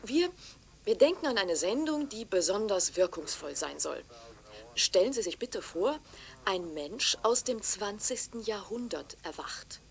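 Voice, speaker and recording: A woman's voice: medium pitch, very animated, unhurried, creaky. A woman acting a deferential, eager young television reporter in a radio drama, courteous and persuasive. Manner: courteous, persuasive, formal, sometimes hushed.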